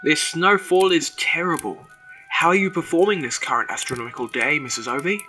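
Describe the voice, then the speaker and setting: A man's voice: a little high, brisk, music in the background, natural voice. A man playing a polite, worried visitor with stilted formal diction in a ghost story audio drama. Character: concerned, polite man visiting a dying old woman.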